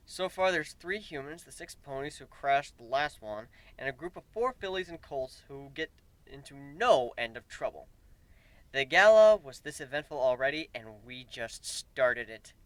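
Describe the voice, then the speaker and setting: Animated, bright-voiced man reading a story. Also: medium pitch, moderate pace, no echo, rough recording. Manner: expressive, emphatic. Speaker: amateur story reader. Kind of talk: dramatic first-person story narration.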